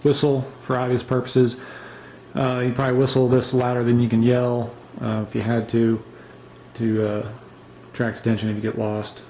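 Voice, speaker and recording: A man's voice: mid-range voice, flat delivery, moderate pace, darkish tone, slight background noise, rough recording. A man casually showing and reviewing his emergency gear, unscripted, with many fillers and restarts. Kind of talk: casual show-and-tell review.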